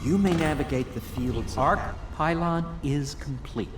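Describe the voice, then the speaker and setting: An actor playing a proud, grave inventor-scientist in a video game, speaking formally with measured grandeur. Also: male voice, on the high side, natural voice. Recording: roomy, distant, music behind.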